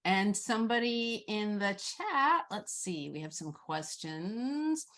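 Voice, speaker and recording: A woman moderating an online Q&A informally, thinking aloud in a halting way as she puts questions. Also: medium pitch, expressive, a bit bright. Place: online video call.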